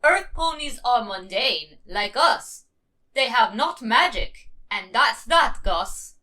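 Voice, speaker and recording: A high, bright young female zebra played by a woman, earnest and stubborn about her dreams. She speaks slowly and deliberately, in the manner of a cartoon heroine. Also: expressive, heavily acted. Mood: proud. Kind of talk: scripted cartoon fan voice acting.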